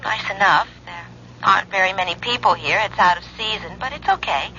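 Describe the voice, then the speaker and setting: Dull telephone sound, slight hiss, radio drama, worried reticent wife, bright, hesitant. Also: female voice, medium pitch, a little fast. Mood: wistful, guarded. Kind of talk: 1970s radio drama acting. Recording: noisy, thin telephone line on old radio.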